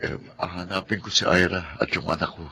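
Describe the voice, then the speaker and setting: Low-voiced, guilt-ridden Filipino husband. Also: male voice, steady tone, a little fast, gravelly, bright, forceful tone, breathy edge, heavily acted. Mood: guilty, pleading. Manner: humble, apologetic. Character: poor, apologetic, guilt-ridden husband and jeepney driver. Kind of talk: Filipino television drama acting.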